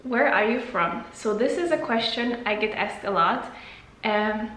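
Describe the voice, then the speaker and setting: A woman's voice: fairly high pitch, animated, measured pace, a bit bright, echoey room. A woman in her late twenties from Poland, chatting casually through a Q&A for her channel, with loose phrasing, fillers and restarts. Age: 20s. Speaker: vlogger. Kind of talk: casual Q&A to camera.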